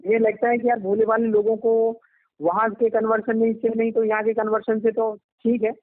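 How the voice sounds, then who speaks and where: A man's voice: sits high, completely flat delivery, normal speed, no echo. A man from Madhya Pradesh, talking casually on the phone and rambling, often checking that the listener agrees. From Madhya Pradesh, India. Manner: rambling, often seeking agreement with tag questions. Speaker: ashram devotee. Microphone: telephone. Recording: phone call recording, band-limited.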